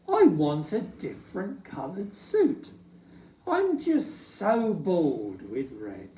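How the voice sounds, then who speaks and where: A fussy old Santa from a picture book, as a reader puts him on, lamenting in sing-song rhyme. He is unhurried and swooping, with a sighing manner, heard in a roomy space. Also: medium pitch, huge pitch swings, mellow, slight background noise, pitched above the performer's own voice. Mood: fretful. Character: troubled, fussy old Santa, bored with red. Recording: echoey room, distant from mic.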